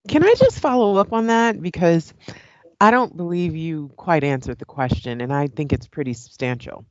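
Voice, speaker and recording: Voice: mid-range voice, lively intonation, moderate pace, no room sound, dull audio, lightly acted. A performer playing a persistent, skeptical debater in an audio play, asking probing, insistent and articulate questions. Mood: pressing, skeptical.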